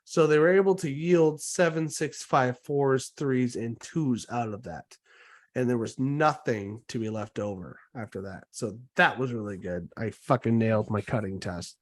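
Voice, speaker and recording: A man's voice: medium pitch, moderate pace. Casual young man recovering from a cold. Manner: swearing, animated storytelling. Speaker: podcast host. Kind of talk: casual chat.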